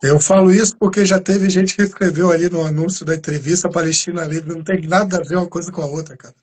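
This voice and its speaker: A Brazilian man hosts a live video stream, talking in a loose, rambling way and greeting viewers as he goes. His voice is slightly husky and sits a little high. It sounds like a home computer mic. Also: fairly even intonation, fast, darkish tone. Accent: Brazilian Portuguese. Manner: casual, thanks viewers by name, rambling restarts. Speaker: channel host. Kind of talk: live stream interview chat.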